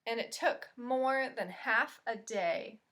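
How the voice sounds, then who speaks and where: A woman leads a children's storytime in a lightly high voice. She reads very slowly and expressively, with questions put to the young listeners along the way. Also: a bit bright.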